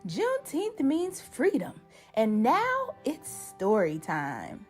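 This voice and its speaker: A woman's voice: sits high, wildly swooping pitch, slow, slightly rough, faint music behind, heavily acted. A woman voicing an elderly great-grandmother storyteller from a picture book, proud and solemn, with rhythmic, emphatic delivery. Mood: joyful.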